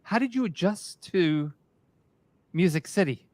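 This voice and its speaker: A man's voice: high-pitched, expressive, a little slow, a little mellow, no room sound. A man hosting a casual talk show interview, loose and joking, swearing now and then.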